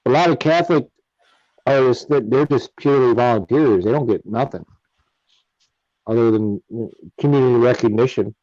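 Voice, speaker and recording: A man's voice: mid-range voice, moderate pace, a little mellow, no room sound. Easygoing man on a dull, dry line. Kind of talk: casual conversation.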